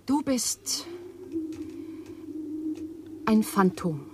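An actress playing Eva, an overwrought and bitter young woman, in a radio play, speaking with impassioned swings from hushed to loud. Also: mid-range voice, very animated, very slow, noisy background. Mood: intense. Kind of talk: 1980s radio drama acting.

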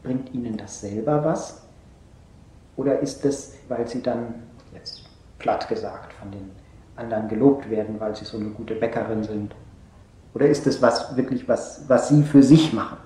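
An actor playing a male therapist in a naturalistic radio play, asking probing questions in a measured, halting way. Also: medium pitch, mellow, close to an everyday voice. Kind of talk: naturalistic radio drama dialogue. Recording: roomy sound with faint noise.

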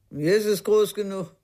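An actor in a radio crime drama playing a wary, nosy village innkeeper, guarded and curt. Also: male voice, high-pitched, mellow, no room sound, clean studio sound.

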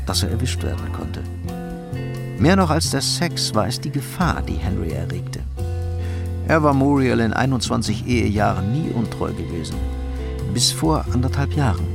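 A man narrating a scripted crime radio play in a measured, matter-of-fact way. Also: mid-range voice, vocal fry.

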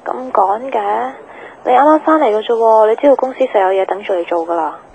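A woman's voice: a little high, fairly quick, creaky, darkish tone, poor audio. A woman acting a busy, guarded, jealous young girlfriend in a radio drama, conversational, with a Hong Kong accent. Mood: guarded, piqued.

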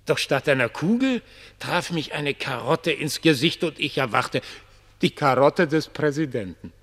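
An actor in a German radio play as a ragged homeless dreamer, mocking, prophetic and pitying, with theatrical, declamatory delivery. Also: male voice, on the high side, lively intonation, measured pace, fairly bright tone. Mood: dreamy, pitying. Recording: long reverberant hall.

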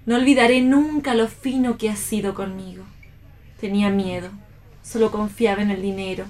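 A woman's voice: medium pitch, steady tone, moderate pace, a little mellow, lightly acted. A woman acting a proud, idealistic, wounded young bride in a play reading for radio, delivered with dramatic emotion. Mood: tearful, tender.

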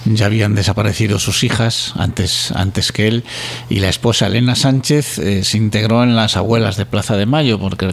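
A man's voice: fairly low pitch, slightly rough. A man with a Spanish accent from Spain, co-hosting a podcast in casual, opinionated conversation. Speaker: podcast co-host.

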